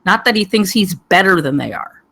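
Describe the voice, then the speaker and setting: A panelist giving digressive, halting spoken feedback on a script, wandering from point to point. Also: medium pitch, animated, a bit bright. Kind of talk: rambling spoken critique.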